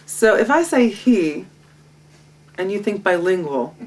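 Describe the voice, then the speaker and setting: A woman, a Hebrew speaker, teaching a Torah lesson with a lively, self-mocking manner and frequent laughter. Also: medium pitch, a little slow. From Israel. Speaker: guest teacher. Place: home library. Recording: distant mic.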